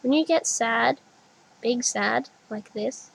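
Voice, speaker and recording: A woman voicing a small toddler girl who is earnest and gentle, speaking in simple childlike words. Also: on the high side, takes it slowly, vocal fry, slightly rough, exaggerated voice, low-quality recording. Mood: soft.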